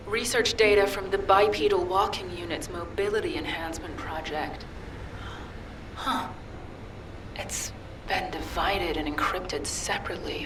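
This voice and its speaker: Guarded sci-fi game commander: low female voice, clipped, authoritative, slightly off-mic. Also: recorded from a distance, noisy background. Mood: focused, businesslike. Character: driven, guarded female cyborg commander, brisk and resolute. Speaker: military commander character in a video game. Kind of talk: scripted game dialogue.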